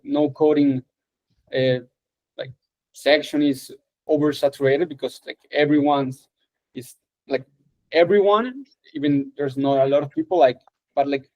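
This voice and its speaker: A man's voice: a little high, fairly even intonation, moderate pace, a little mellow, low-quality recording. A young man from Colombia with a Colombian accent, a Spanish speaker introducing himself on a video call, hesitant and full of filler words. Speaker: course student.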